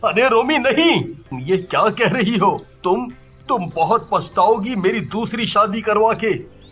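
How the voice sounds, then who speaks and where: A devoted husband, acted by a man, indignant and principled in a cartoon drama. His voice is very high and sharp, and he speaks at a slow pace over music. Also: expressive.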